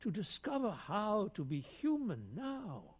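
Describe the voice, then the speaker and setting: Slow-spoken, wry old wise man. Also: high voice, wildly swooping pitch, darkish tone, breathy edge, exaggerated voice. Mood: weary, wry. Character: weary old male wise man seeking truth. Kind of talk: dramatic verse reading. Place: concert stage in a radio performance hall. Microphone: close studio mic on boom.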